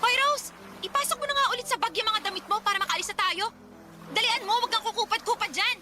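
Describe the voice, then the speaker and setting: An actress playing a fed-up, sharp-tongued Filipino mother, sarcastic and taunting, in a Tagalog radio drama. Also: extremely high pitch, quick pace, music in the background. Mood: impatient.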